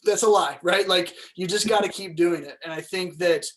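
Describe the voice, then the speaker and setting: High-voiced emphatic man. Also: normal speed, fairly bright tone. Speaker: panelist. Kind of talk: casual motivational career advice. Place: indoors, video call.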